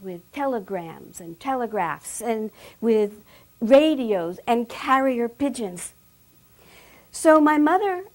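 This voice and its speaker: A woman with a soft voice telling a personal family story, hushed and lingering, with sharp emphasis on key words. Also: fairly high pitch, poor audio. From Bermuda.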